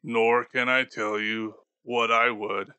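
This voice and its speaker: A man voicing a doomed, grieving male ghost bound in chains, speaking slowly and gravely for an audiobook. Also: medium pitch, level tone, pushed and bright, dry recording. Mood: mournful. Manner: slow, solemn and grave. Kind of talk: audiobook character voice.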